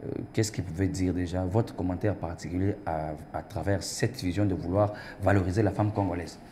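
Formal TV host, low mellow male voice. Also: steady tone, fairly quick, slight background noise. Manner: formal, ceremonious, with frequent hesitation fillers. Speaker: TV talk show host. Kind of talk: formal studio interview. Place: TV studio set.

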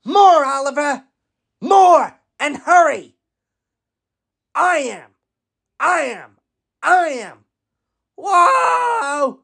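A cocky cartoon dump truck, voiced by a woman, high and gravelly. It is impatient and pushy, taunting in drawn-out, swooping exclamations. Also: big pitch swings, a bit bright, exaggerated voice. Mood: brash, impatient. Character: brash, competitive, impatient speeding dump truck. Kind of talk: animated children's show character acting.

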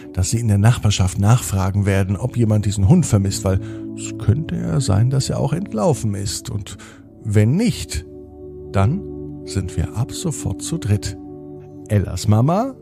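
A man narrating a children's bedtime story in a gentle, unhurried storytelling manner. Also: lowish voice, creaky. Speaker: podcast host and storyteller.

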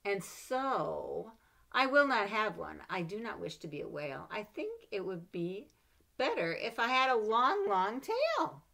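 A middle-aged woman reading a children's picture book aloud at home, expressive and animated, with playful asides between pages, recorded on a webcam. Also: mid-range voice, measured pace, gravelly. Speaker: storybook reader. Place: home room with bookshelves. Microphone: webcam at desk.

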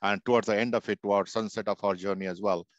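A man's voice: on the low side, steady tone, quick pace, dry recording. A man in his thirties on a headset mic, emphatic, stumbling over repeated words as he starts. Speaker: technology leader panelist. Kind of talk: conference panel talk on video call. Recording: dull, dry headset audio.

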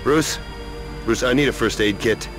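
A man's voice: medium pitch, moderate pace, music in the background, lightly acted. A man playing a blunt, tough, loyal action hero in a video game cutscene. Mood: concerned. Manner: blunt, gritty, swearing.

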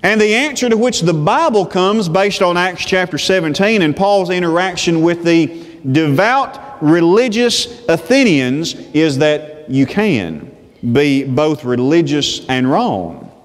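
Male preacher, thirties, high voice, unhurried sermon delivery, lapel mic in a reverberant sanctuary. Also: lively intonation. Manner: slow, deliberate pulpit delivery. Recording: long church reverb.

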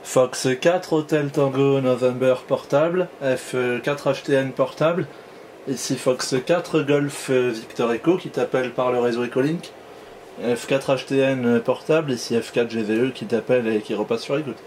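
A man's voice: medium pitch, normal speed, darkish tone, a little hiss or hum. A man giving a casual explanatory demonstration as an amateur radio operator, hesitating and repeating words as he goes.

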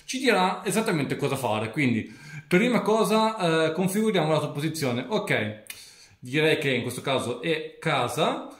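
A man's voice: on the high side, very animated, normal speed, a bit bright. Man, instructional, informal tech walkthrough, roomy indoor sound. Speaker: tech reviewer and tutorial presenter. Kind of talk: step-by-step product tutorial.

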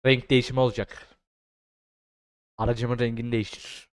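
A young man doing casual, slangy game commentary, swearing freely and repeating words in excited bursts. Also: medium pitch, normal speed, clear, resonant tone, no room sound, clean studio sound. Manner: foul-mouthed, slangy. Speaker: gaming streamer.

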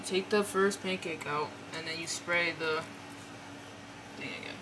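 A woman's voice: lowish voice, fairly even intonation, a little slow. A woman explaining how to cook pancakes in a home kitchen, chatty and casual with lots of fillers. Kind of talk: casual cooking demonstration. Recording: home video, loud background noise.

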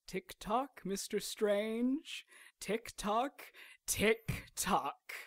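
An actress playing a smug, gloating villainess in a radio drama, taunting with theatrical, drawn-out menace. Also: female voice, medium pitch. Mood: mocking. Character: gloating villainess, smug imprisoned would-be ruler.

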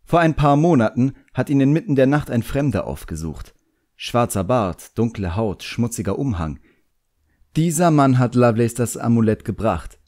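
Man doing a young apprentice wizard boy, sullen, haughty, muttering, lively pitch movement, storybook character. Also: moderate pace, lightly acted. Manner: muttering, defiant. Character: sullen, proud, vengeful boy magician apprentice.

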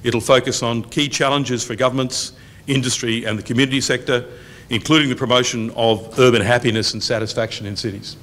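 A man's voice: medium pitch, steady tone, a bit bright. Middle-aged man giving a formal welcome. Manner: measured, reads out long lists evenly. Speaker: university dean opening a public event. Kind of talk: formal welcome speech at a lectern. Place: university function room, indoors, medium size. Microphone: lectern microphone on a boom stand.

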